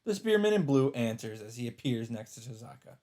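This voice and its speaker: A man reading a visual novel script aloud as dramatic narration, with deliberate, drawn-out phrasing. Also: medium pitch, sing-song, darkish tone. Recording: music under the voice. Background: music.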